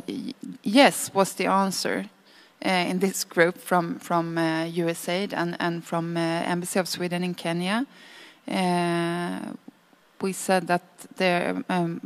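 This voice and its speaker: A woman giving a halting, matter-of-fact report for her group at a workshop. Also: on the low side. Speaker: table spokesperson at a workshop. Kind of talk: reading a question, then brief unscripted report.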